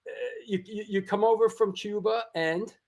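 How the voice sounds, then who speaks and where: A man's voice: high-pitched, steady tone, moderate pace, gravelly. A middle-aged man hosting a casual interview, reacting often with short exclamations. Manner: warmly reactive, lots of wows and short interjections. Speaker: host.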